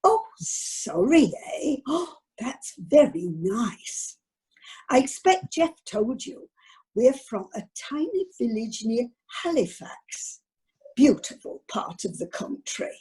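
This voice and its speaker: Woman acting husky, swooping older northern English wife. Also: on the high side, sing-song, takes it slowly, darkish tone, exaggerated voice. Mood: polite, gushing. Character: fussy retired northern English wife, chatty and easily flustered. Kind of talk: amateur radio comedy acting. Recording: online video meeting audio.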